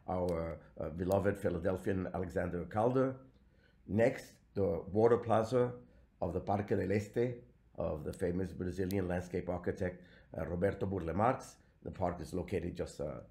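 A man, giving a recorded slide lecture in a measured way with frequent fillers. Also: low voice, mellow. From Venezuela.

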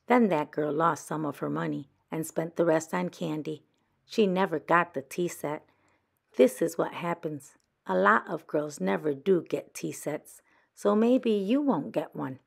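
A sly little girl badger from a storybook, voiced by a woman reader. The voice is on the low side with some creak. The talk is bossy and persuasive, with coy dodging. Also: exaggerated voice. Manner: persuasive, coyly evasive. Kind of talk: children's picture book read-aloud.

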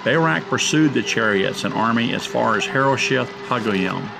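A man narrating a scripted Bible story drama in a measured, solemn way. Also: mid-range voice, lively intonation, vocal fry, noisy background.

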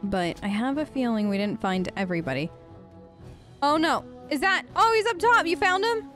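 A woman's voice: sits high, animated, normal speed, fairly bright tone, faint music behind. A woman hosting a role-play let's play, chatting casually in character and musing aloud as she goes. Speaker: let's play host, role-playing her game character. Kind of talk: casual in-character game commentary.

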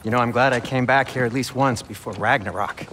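An actor voicing a fussy, chatty dwarf smith with an odd sense of humor. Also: male voice, mid-range voice, fairly even intonation, brisk, a bit bright, slight background noise, close to an everyday voice.

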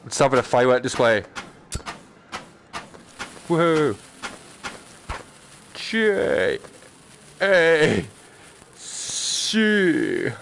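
Guy streaming a game, creaky voice, swings up and down a lot, sweary and messing about. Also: fairly high pitch, very slow, long pauses, slight background noise. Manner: excitable, playful, sweary. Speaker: live streamer. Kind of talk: casual gaming commentary.

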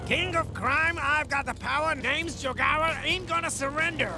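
A man's voice: very high-pitched, moderate pace, creaky. An actor voicing a boastful, bossy cartoon crook who calls himself king of crime, with theatrical delivery. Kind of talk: exaggerated cartoon dub acting. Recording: loud music under the voice.

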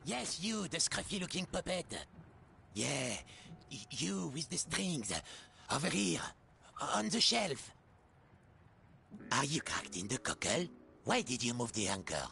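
A man voicing a rude, grumpy male hermit crab that scolds in a rough voice. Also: a little high, very animated, measured pace, long pauses, sharp tone, a little hiss or hum, voice pushed up, heavily acted. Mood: scolding. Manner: cranky, scolding.

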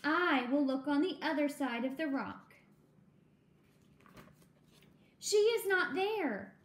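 A woman's voice: sits high, animated, measured pace. A woman voicing an anxious, loyal male elephant from a children's storybook, earnest and dramatic. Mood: puzzled. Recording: echoey home room.

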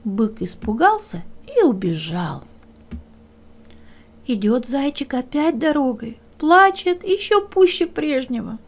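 A woman narrating a children's fairy tale as a storyteller, with expressive scripted delivery. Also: sits high.

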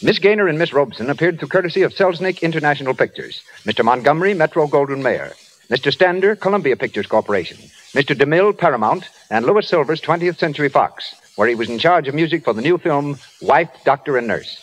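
A man works as a radio announcer, reading formally from a script in 1930s fashion. The voice is slightly high, over faint vintage recording noise. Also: lively intonation, quick pace, low-quality recording.

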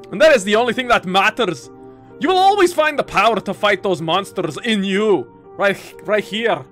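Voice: mid-range voice, expressive, measured pace, a bit bright, soft background music. A let's player voicing a small, blunt male cat companion from a game, tender yet dry and matter-of-fact. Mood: blunt affection.

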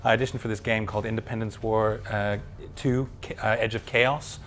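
A man's voice: fairly low pitch, fairly even intonation, brisk, a bit bright, slight background noise. A middle-aged male voice actor talking casually backstage in an interview, friendly and self-deprecating, often restarting his sentences. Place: backstage room with wooden walls at an awards event.

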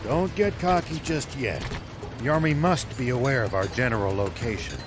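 An actor playing a weary, noble old former general in a video game, speaking in a measured, formal and gravely earnest way. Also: male voice, medium pitch, over music, close to an everyday voice. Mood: resolute, commanding. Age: older.

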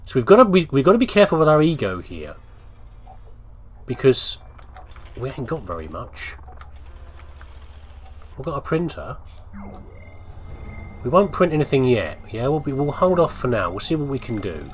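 Man, casual gamer commentary, animated intonation, rambling, talking to himself, faint background game noise. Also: mid-range voice, moderate pace, long pauses.

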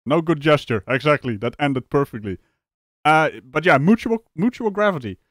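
A man from the Netherlands hosting a live stream, reacting to a clip with animated, mocking commentary and frequent laughs. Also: fairly high pitch, moderate pace, no room sound, clean studio sound. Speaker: streamer host.

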